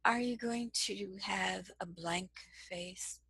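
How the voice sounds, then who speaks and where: A woman with a soft voice giving a slow, measured, gentle lesson on communication as a trainer. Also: mid-range voice, gravelly, breathy edge, no room sound. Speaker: cross-cultural communication trainer. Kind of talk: instructional lesson to camera.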